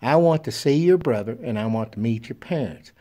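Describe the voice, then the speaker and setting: Gentle, soft-spoken well-dressed surgeon, as a man voices him in a told story. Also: mid-range voice, animated, a little fast, natural voice.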